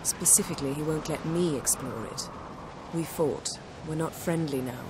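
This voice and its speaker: An actor playing a companion in a fantasy video game, speaking in a crisp, matter-of-fact way. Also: male voice, a little high, moderate pace, heavy background noise, natural voice. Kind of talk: video game cutscene acting.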